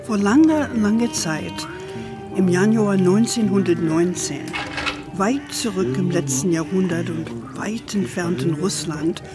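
An actress playing an older, indulgent grandmother telling stories in a children's radio play, with a playful, calm manner. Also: on the low side, loud music behind the voice. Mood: gentle storytelling. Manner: unhurried, sprinkles English endearments.

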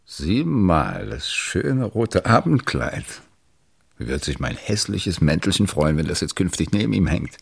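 A man's voice: sits low, sing-song, a little slow, vocal fry, a little hiss or hum, heavily acted. An actor playing a carefree, charming bohemian writer in a radio drama, coaxing his lover and easily piqued. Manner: coaxing, pet-naming, theatrical. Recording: music behind.

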